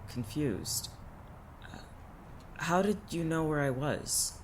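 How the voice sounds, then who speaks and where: A man playing a dazed, drugged and subdued young man in an audio drama, speaking in a halting, muted way. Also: a little high, slight background noise. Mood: dull, confused. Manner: halting, flat, muted delivery.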